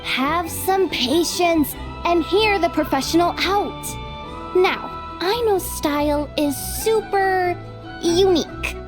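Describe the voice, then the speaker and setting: A woman's voice: extremely high pitch, slow. An actress voicing a brash, boastful young female bounty hunter with breezy street slang in an anime game dub. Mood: confident, explaining. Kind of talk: English anime game voice acting. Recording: music behind the voice.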